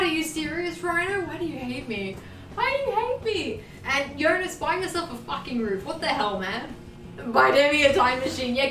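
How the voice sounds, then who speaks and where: Animated Australian woman livestreaming from afar. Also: a little high. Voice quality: animated, laughing, self-mocking. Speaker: livestream host. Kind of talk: casual livestream chat. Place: home sewing room. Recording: roomy, distant, faint music behind.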